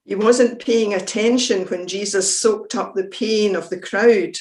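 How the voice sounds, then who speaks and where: Woman, church reading, reflective, calm, slow pace, echoey room. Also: medium pitch. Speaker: devotional reader. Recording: roomy echo.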